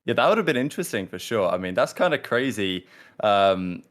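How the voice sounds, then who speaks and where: A man hosting a podcast, putting relaxed questions to a guest. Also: fairly low pitch, fairly quick. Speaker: podcast host. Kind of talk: casual podcast interview.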